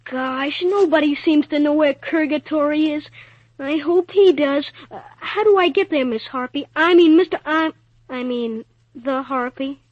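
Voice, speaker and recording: A woman's voice: high-pitched, a little slow. A performer voicing an earnest, polite, anxious little boy with eager, stammering speech in 1940s radio drama. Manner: eager, stammering, boyish slang. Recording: dull old radio recording.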